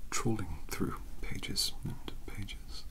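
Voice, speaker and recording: A man with a British accent playing a bookish, polite young occult mentor in a soft-spoken, breathy ASMR roleplay, with formal, hesitant phrasing. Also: drawn-out words. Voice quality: breathy, whispery. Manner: scholarly, formal, hesitant, wordy.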